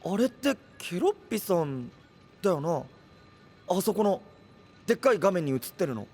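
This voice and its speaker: Casting: naive young anime hero, slightly high boyish voice, blunt and sincere, light music behind. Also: male voice, very animated, moderate pace, a little mellow. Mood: naive, curious. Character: earnest, simple-minded young male hero who speaks plainly. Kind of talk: anime-style audio drama acting.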